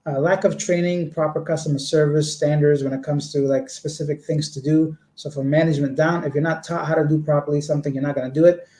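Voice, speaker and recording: A man's voice: on the high side, flat delivery, fast, few pauses, dark tone. A man from Canada chatting casually on a livestream, answering viewer comments with long, rambling explanations. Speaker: vlogger. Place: indoor home room. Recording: echoey room.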